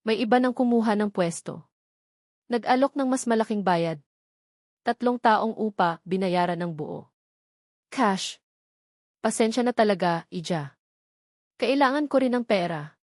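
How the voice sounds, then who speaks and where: A woman's voice: mid-range voice, measured pace, pauses often, a little mellow, no echo, studio quality, close to an everyday voice. A woman narrator playing a serious, apologetic old male landlord in a story. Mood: apologetic, firm. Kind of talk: acted dialogue in story narration.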